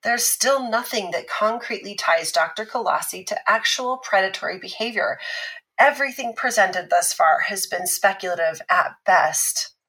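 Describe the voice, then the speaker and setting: An actress playing a calm, analytical woman expert who explains patiently and teases a little. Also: mid-range voice, poor audio. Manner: measured, explanatory, lightly teasing. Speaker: expert on abuse recovery. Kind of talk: scripted podcast drama dialogue.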